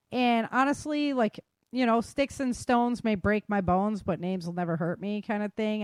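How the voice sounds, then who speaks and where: A woman's voice: medium pitch, no room sound. Casual woman podcast host, close dry sound. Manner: informal, slangy, self-deprecating. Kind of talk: casual chat.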